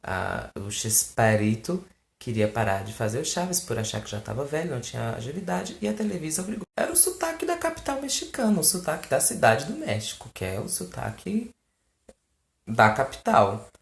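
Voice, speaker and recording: Animated Brazilian man in an echoey room. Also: mid-range voice, normal speed, creaky. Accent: Brazilian Portuguese. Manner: animated and exasperated, with mocking asides. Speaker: fan page host answering questions. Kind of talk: casual chat to camera. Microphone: phone recording.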